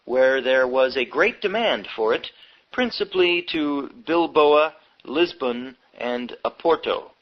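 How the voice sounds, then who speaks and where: A man reading a history book aloud as scripted narration, in measured, short phrases, on a dull lo-fi recording. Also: mid-range voice. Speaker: audiobook reader. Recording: dull lo-fi audio.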